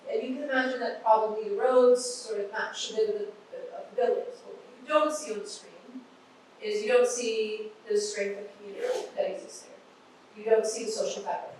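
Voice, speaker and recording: A woman with a loud voice, giving a relaxed conference talk on urban planning with plenty of ums and sort-ofs. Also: medium pitch. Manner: conversational, many fillers and hedges. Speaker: invited speaker on urban planning. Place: large event hall. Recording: echoey hall from a distance, faint room noise.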